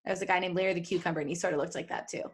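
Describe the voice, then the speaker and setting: A woman's voice: lowish voice, steady tone, rapid-fire. A woman co-hosting a casual, joking live podcast, chatty and prone to giggling. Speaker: podcast co-host.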